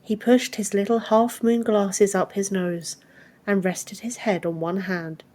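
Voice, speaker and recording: A woman's voice: mid-range voice, little pitch movement, measured pace, darkish tone. A woman reading scripted fantasy fiction as an audiobook narrator.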